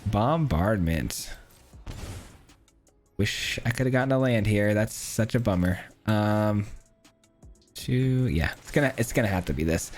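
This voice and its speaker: Hoarse man narrating his card game. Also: lowish voice, expressive, unhurried, long pauses, soft background music. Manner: counts aloud and thinks through plays. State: hoarse, voice cracking. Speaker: gameplay streamer. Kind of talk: casual live gameplay commentary.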